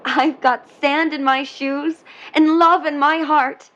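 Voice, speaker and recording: A woman's voice: sits high, fairly even intonation, takes it slowly, fairly bright tone, highs cut off, slight background noise. A woman playing an earnest, dreamy young mermaid in love, in a 1950s period audio drama, with wistful, lyrical delivery. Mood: tender, playful.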